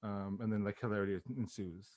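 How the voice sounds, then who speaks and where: A man's voice: fairly low pitch, flat delivery, a little fast, a little mellow, no room sound, clean studio sound. A man with an American accent talking casually as an interview guest, in a hesitant, giggly manner. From Los Angeles, United States.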